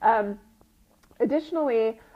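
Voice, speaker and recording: A woman's voice: a little high, unhurried. Sniffly woman coaching, dull lo-fi audio. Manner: conversational teacher, often checking in with "right?" and "okay?". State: sniffly with a runny nose. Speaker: retreat business coach and group host. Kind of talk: informal live coaching talk.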